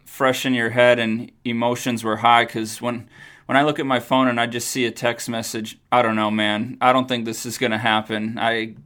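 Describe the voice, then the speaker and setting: An American man with a medium-pitched voice talks in a loose, casual way. He is laid-back and encouraging, and swears now and then. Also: level tone, moderate pace, a bit bright. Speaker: co-host, golf buddy. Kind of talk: casual chat, profane.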